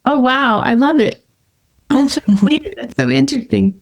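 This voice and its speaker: A woman's voice: high-pitched, normal speed, creaky. Affirming woman podcast host. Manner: enthusiastic, affirming. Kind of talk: casual conversational interview.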